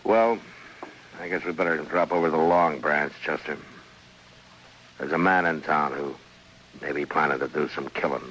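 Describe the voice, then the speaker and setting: Stern American western marshal, very low forceful voice, terse authority, old radio drama, faint hiss. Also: male voice, steady tone, normal speed, long pauses, poor audio. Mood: calm, reassuring. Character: stern, steady frontier US marshal.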